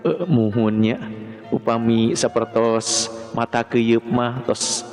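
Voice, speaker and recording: A man's voice: mid-range voice, takes it slowly. A man voicing a polite, smitten young man who speaks hesitantly, with a Sundanese accent, in a told story. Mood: flustered. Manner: hesitant, stammering. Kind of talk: acted dialogue in storytelling. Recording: long reverb, music behind.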